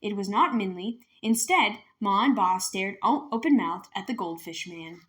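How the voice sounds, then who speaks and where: A woman's voice: mid-range voice, expressive, normal speed, a bit bright. Woman reading a children's novel aloud. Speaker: read-aloud storyteller. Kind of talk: scripted children's book narration.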